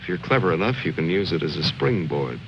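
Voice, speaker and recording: An actor playing a composed, intellectual army lieutenant in an old war film, articulate, wry and self-assured. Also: male voice, low-pitched, expressive, fast. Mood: wry, confident. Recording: muffled old film soundtrack, very noisy.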